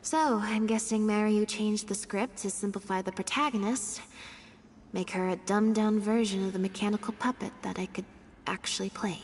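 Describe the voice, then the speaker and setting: A woman's voice: mid-range voice, fairly even intonation, normal speed, slight background noise, lightly acted. A woman voicing a cool-headed, wry young woman in a video game, laid-back and dry in manner.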